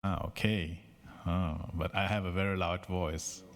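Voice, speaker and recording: A man speaking up from the audience at a conference, halting with many restarts and joking asides. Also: low voice, level tone. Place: conference hall.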